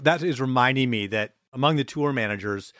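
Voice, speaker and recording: A man hosting a podcast, casually telling an amused, measured anecdote. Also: medium pitch, a bit bright, no room sound, studio quality. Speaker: podcast host.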